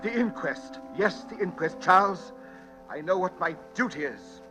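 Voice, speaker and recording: A man's voice: high voice, expressive, unhurried, bright, forceful tone, faint music behind, heavily acted. A man playing a nervous, hesitant retired bank man in a 1940s-style radio drama, growing frightened and obsessive. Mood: agitated, resolute. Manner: hesitant, repeating words, dramatic. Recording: old-time radio drama.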